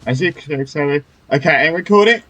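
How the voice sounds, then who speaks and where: A man's voice: fairly high pitch, expressive, a little fast, pushed and bright, slight background noise. A man commentating casually as he plays a video game, informal and reactive. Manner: informal, reactive, swears mildly. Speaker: gaming streamer. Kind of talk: casual let's-play commentary. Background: game music.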